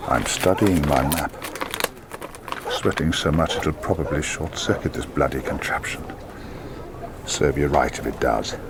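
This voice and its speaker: An actor playing a wry, sardonic English publisher turned reluctant spy in a radio drama. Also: male voice, very deep voice, measured pace, long pauses, heavy background noise. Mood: muttering.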